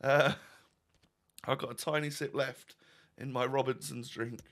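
A man, croaky today, chatting wryly through a football game pack opening from his home room. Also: medium pitch, steady tone, measured pace, fairly bright tone. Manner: chatty, wry, with fillers and restarts. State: croaky, voice going. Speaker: gaming YouTuber. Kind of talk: casual pack-opening commentary.

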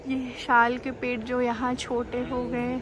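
A woman chatting casually outdoors on a hillside, lively and coaxing as she talks to a small child, recorded on a handheld phone. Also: on the high side, measured pace, background noise.

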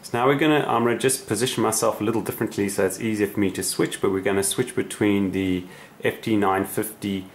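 A man's voice: fairly low pitch, steady tone, fast, slight background noise. A man giving a casual, unscripted explanatory demonstration as a radio hobbyist, with somewhat hesitant phrasing. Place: small indoor radio room.

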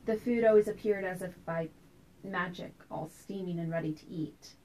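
A woman's voice: lowish voice, dark tone, lightly acted, poor audio. Actress playing a wistful, anxious woman remembering childhood. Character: wistful, anxious woman recalling tense childhood family dinners. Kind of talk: theatrical monologue performance.

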